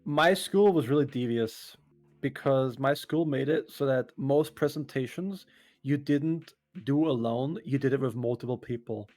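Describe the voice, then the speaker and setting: A man's voice: mid-range voice, unhurried, a little mellow, soft background music. A man chatting casually on a group podcast, rambling with lots of fillers and laughter. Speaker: podcast co-host, VTuber.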